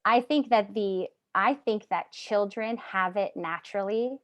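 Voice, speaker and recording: A woman guest on a podcast, talking enthusiastically in quick bursts about education. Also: mid-range voice, no echo. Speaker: podcast guest, homeschooling advocate. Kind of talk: casual chat.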